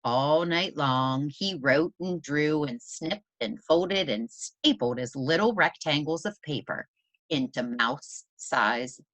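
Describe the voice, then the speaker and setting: A low-voiced woman reads a children's storybook aloud like a teacher. Her delivery is slow and deliberate, with lots of expressive rise and fall. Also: dry recording, poor audio. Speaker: teacher reading aloud to students.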